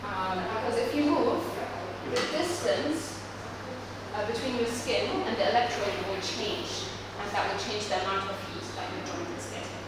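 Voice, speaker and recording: A woman's voice: medium pitch, moderate pace. A woman giving a clinical demonstration, explaining step by step to a patient and checking in often. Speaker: physiotherapy instructor demonstrating a treatment. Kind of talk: instructional demonstration, talking to a patient. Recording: echoey room, distant mic, loud background noise.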